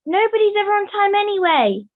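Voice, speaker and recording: A woman's voice: very high voice, sing-song, a little fast, phone-quality sound. A woman playing a playful, teasing gossip puppet in an online puppet show, over a thin video call. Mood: teasing, gleeful. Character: teasing, gleeful gossip puppet, relaxed about time.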